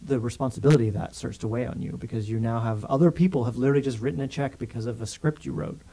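A man's voice: mid-range voice, very fast. A man in his thirties from Canada, a filmmaker guest chatting in an interview in a small studio, talking animatedly on a condenser mic. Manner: animated, gestures a lot with hands while talking. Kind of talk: casual interview chat. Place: small studio with grey backdrop. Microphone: studio condenser mic on stand.

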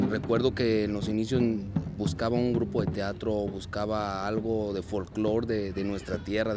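A man in his thirties from Mexico, a dance and theater group director, speaking earnestly and at a measured pace in an outdoor interview, with frequent fillers and restarts. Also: on the low side. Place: outdoors at a street parade. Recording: outdoor clip with music behind. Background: festive music.

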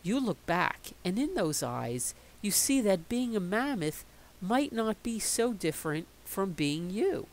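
A middle-aged woman reading a picture book aloud in a slow, expressive storytelling voice. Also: medium pitch, rough recording.

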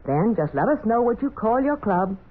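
Animated woman on tinny vintage radio. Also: fairly high pitch, normal speed, breathy edge. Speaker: radio show host. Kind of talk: 1940s children's radio address, scripted. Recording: tinny, phone-like 1940s radio recording.

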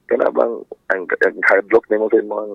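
A man with a Filipino accent calling in to a radio show, chatting casually in a hesitant, halting way. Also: lowish voice, steady tone, vocal fry, slightly rough, no echo. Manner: hesitant, halting, repeats himself. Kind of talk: casual phone chat. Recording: dull lo-fi phone call. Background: faint music.

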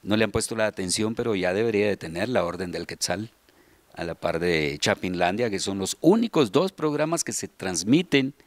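A middle-aged man with a Guatemalan accent, telling a history conversationally as an interview guest, often pausing to search for words. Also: lowish voice, animated, no echo. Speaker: interview guest, children's radio theatre deputy director. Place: large tiled hall indoors, group seated behind. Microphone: interviewer's handheld microphone.

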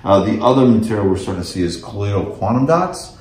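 Male technical presenter, American, middle-aged, very low voice, recorded with plenty of room echo. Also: animated, fast, darkish tone. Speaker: company representative presenting technical products. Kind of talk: technical product demo and explanation. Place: indoors in a small office meeting room. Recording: echoey room.

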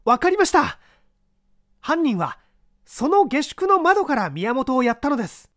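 Guy putting on a high keyed-up young sleuth, lively swings, dry sound. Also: unhurried, no echo, full character voice. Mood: excited. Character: earnest unemployed young man, eager detective. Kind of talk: dramatic mystery reading.

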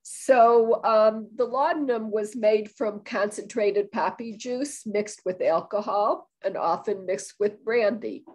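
A woman's voice: medium pitch, slow, hardly any pauses, a little mellow, rough recording. An older woman with a cold, sounding a little nasal, giving a chatty, rambling talk over a video call from a room at home, with frequent ums and restarts. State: has a cold. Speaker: guest historian. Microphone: video call webcam.

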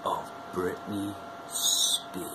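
A man's voice: low-pitched, fairly even intonation, very noisy. A man in his forties delivering a theatrical wrestling-promo monologue to camera as a bombastic showman, drawing out his words. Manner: bombastic showman, drawn-out words, sudden whoops. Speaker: wrestling fan video host. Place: small room at home.

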